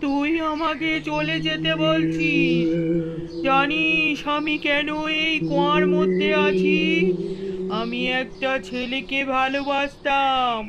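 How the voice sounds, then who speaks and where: A woman voicing a vengeful female ghost haunting a well in a horror cartoon, with a threatening, drawn-out delivery. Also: high-pitched, fairly even intonation, dull audio. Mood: bitter, aggrieved. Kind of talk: cartoon horror story acting. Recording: loud music over the voice.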